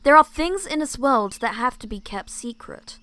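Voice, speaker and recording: A woman's voice: high voice, expressive, moderate pace, bright, forceful tone, dry recording. A woman playing a suspicious, secretive supernatural ally, serious and matter-of-fact, in amateur roleplay acting. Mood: stern.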